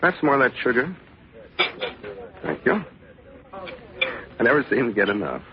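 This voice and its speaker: An actor playing a soft-voiced, mildly polite but insinuating police detective in a 1940s radio suspense drama. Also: male voice, mid-range voice, very animated. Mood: bland, insinuating. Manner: mild, insinuating, unhurried politeness. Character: bland, needling, soft-spoken heavyset male police detective. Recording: muffled, noisy vintage radio broadcast.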